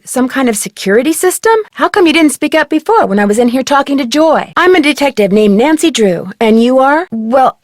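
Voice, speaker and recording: Young female detective played by a woman for an adventure game: higher pitch, inquisitive, courteous, neatly enunciated, close dry sound. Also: a little mellow, no room sound, lightly acted. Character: polite, curious young female amateur detective questioning people. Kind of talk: scripted adventure game dialogue.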